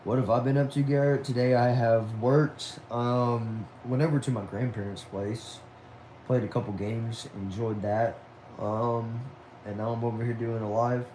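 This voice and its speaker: A young man of about twenty hosts a relaxed livestream from home, reading out his viewers' comments and answering them. His voice sits at an ordinary pitch for a man. He sounds loose and chatty, with a faint hiss of noise behind him. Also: unhurried, low-quality recording. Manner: laid-back, chatty, says y'all and man. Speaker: livestream host. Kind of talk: casual livestream chat, reading viewer messages aloud.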